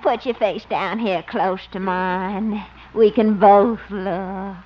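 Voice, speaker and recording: A woman's voice: mid-range voice, fairly even intonation, close to an everyday voice. An actress playing a coy, flirtatious sweetheart in a 1950s radio comedy, cooing and drawing out her words. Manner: cooing, drawn-out, coquettish. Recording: muffled, very noisy old radio broadcast.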